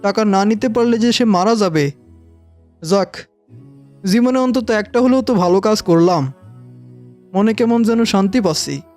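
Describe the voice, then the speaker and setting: A man voicing a boastful, theatrical bandit chief with a soft heart in a cartoon. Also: sits high, moderate pace, pauses often, faint music behind, lightly acted. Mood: softened, reflective. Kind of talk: animated cartoon voice acting.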